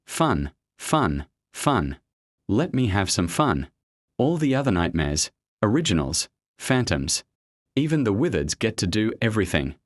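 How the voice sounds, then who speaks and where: A nightmare animatronic from a horror game, put on by a woman, speaks in a deep male register that swoops widely. He is bitter and menacing, brooding theatrically over the fun he wants. The sound is close and dry. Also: big pitch swings, measured pace, dry recording, full character voice. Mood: resentful menace. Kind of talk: video game character voice lines.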